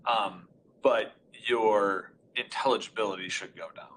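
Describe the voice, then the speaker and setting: A man with a loud, carrying voice presenting a webinar, walking through a live product demonstration in a casual, explanatory way from a meeting room. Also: fairly low pitch, fairly even intonation, unhurried, a little hiss or hum, rough recording. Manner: informal, explanatory. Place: meeting room with reflective walls. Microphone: conference room array microphone.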